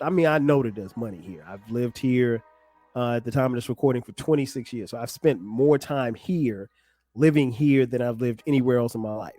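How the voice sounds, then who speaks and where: A man's voice: medium pitch, darkish tone, no echo. A middle-aged man from South Carolina hosting his own podcast in a loose, chatty way, full of ums and casual slang. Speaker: podcast host. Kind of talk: casual solo podcast talk.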